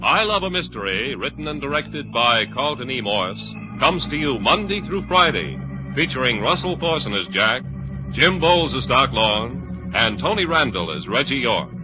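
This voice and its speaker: A man's voice: mid-range voice, big pitch swings, moderate pace. A man working as a radio announcer, reading scripted programme credits in a 1940s style. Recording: muffled old-time radio recording. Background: music.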